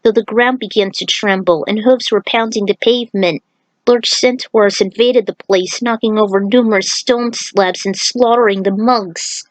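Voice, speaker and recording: A woman's voice: mid-range voice, fairly even intonation, normal speed, no room sound, low-quality recording. A woman reading an action fantasy story aloud as a narrator, in a dramatic scripted style.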